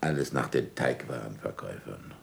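An actor playing an older, sardonic and imperious scholar in a German radio drama, dictating deliberately with irony and curt commands. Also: male voice, rumbling low, gravelly, a little hiss or hum, full character voice. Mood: dictating, ironic.

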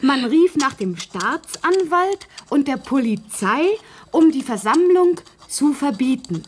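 High, very halting earnest maid. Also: female voice, sing-song, heavily acted. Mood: earnest, concentrated. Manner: halting, deliberate, word by word. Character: earnest housemaid slowly composing a written meeting report. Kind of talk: radio play dialogue.

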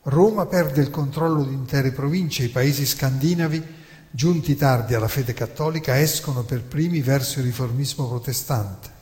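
A man delivers a theatrical historical monologue in a deliberate, emphatic, rhetorical way with dramatic pauses. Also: mid-range voice, darkish tone. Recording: long hall reverb.

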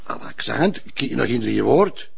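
An actor playing a nosy, excitable neighbour in a drama, rambling in broad dialect. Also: male voice, medium pitch, moderate pace, full character voice. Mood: chatty, flustered. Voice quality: raspy, gravelly. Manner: rambling, colloquial.

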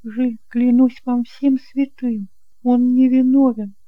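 A woman's voice: fairly high pitch, fairly even intonation, slow pace, no room sound, narrow, tinny audio. A woman playing an anxious, devoted aunt pleading earnestly in an amateur audio drama.

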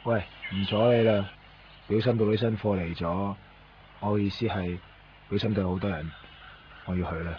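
An actor playing a cynical, needling small-time hustler, blunt and sardonic, in a Cantonese radio drama. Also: male voice, lowish voice, steady tone, normal speed, long pauses, close to an everyday voice. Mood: casual. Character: cynical, needling male hustler trading goods in Japan. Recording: dull radio recording, background noise.

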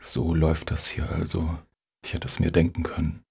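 Gravelly, sardonic male grumbler played by an actor. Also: a bass voice, monotone, moderate pace, exaggerated voice. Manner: dry, grumbling asides. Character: sardonic, suspicious male rival applicant. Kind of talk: satirical radio play acting.

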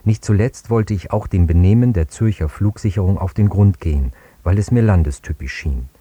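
A man presenting a radio play in a measured, sober, scripted introduction. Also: low-pitched, mellow, slightly rough, no echo.

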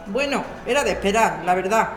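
A woman's voice: medium pitch, lively intonation, a little fast, echoey room, faint music behind, close to an everyday voice. A woman playing a busy, wry lawyer and housing activist in conversational radio drama dialogue. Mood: wry, indignant.

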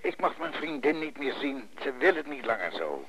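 Casting: Johan, blunt desperate husband, faintly noisy. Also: male voice, mid-range voice, very animated, moderate pace, sharp tone. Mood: despairing. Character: Johan, desperate, bullheaded business partner and troubled husband. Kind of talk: naturalistic Dutch radio play acting.

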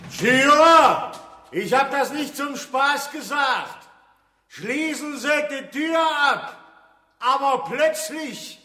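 A bullying house busybody in a radio play, his voice pushed very high and sharp. He scolds and barks slowly from a distance, echoing through a reverberant stairwell. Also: expressive, full character voice. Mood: angry, commanding. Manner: scolding, barking. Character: nosy, bullying male tenement busybody, shouting at neighbours. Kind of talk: German radio drama acting.

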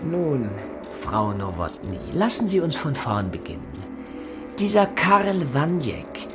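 Icily polite Gestapo interrogator, music behind. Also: male voice, on the high side, sing-song. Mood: coldly probing. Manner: measured, pointed questioning with icy courtesy. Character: menacing Gestapo interrogator, coldly polite, probing, threatening. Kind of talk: German radio play acting.

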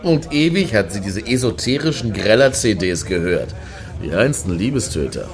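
An actor playing a crude, cynical ex-boyfriend in a radio satire, with a sardonic, grumbling delivery. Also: male voice, lowish voice, sing-song, moderate pace. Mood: mocking. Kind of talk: German radio play dialogue.

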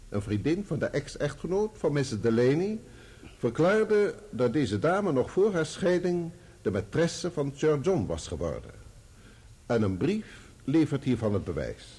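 A polished, sardonic barrister in a radio drama. His voice is mellow and slightly rough, with lively swings of pitch. He speaks formally and courteously, with an edge of irony. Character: suavely sardonic male defence barrister in court. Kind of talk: radio play courtroom acting.

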